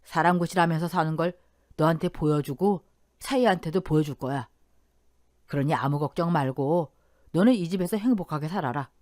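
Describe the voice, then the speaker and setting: A woman's voice: low voice, normal speed, darkish tone, no echo, clean studio sound, lightly acted. A woman voicing a remorseful, resigned old widowed father in radio story dialogue. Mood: earnest.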